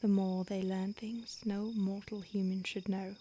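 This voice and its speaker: A woman's voice: mid-range voice, little pitch movement, a little slow, dark tone, slight background noise, close to an everyday voice. A woman voicing an ancient female vampire on the run, speaking in an urgent whisper in an audio roleplay. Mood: hushed urgent.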